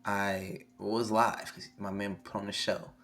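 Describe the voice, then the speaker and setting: A hoarse young man on a live stream, reading a manga chapter aloud and reacting excitably, swearing freely. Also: lowish voice, measured pace. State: hoarse, losing his voice. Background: faint music.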